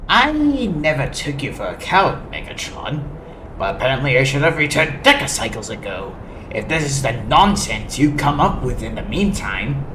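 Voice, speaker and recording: A woman's voice: extremely low pitch, very animated, moderate pace, background noise. A woman playing a proud, theatrical, loyal robot second-in-command, eloquent and dramatic, in a comic dub with a roomy echo. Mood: scornful.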